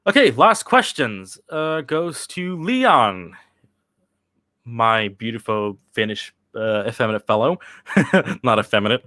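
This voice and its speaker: A man's voice: medium pitch, lively intonation, sharp tone, no echo, clean studio sound. A young man from Sweden chatting casually on a livestream, answering viewer questions with lots of fillers and restarts. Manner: rambling, halting, with many restarts and fillers. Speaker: channel host answering live chat questions.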